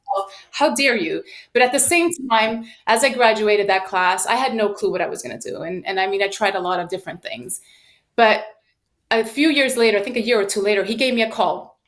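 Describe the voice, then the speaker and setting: A woman in her late thirties speaks as a podcast guest. Her voice sits at a middle pitch. She tells personal stories in an animated, emphatic manner, acting out bits of dialogue. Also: a little fast. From United States and Sweden. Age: late 30s. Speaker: podcast guest, sales professional. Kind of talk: personal storytelling in an interview.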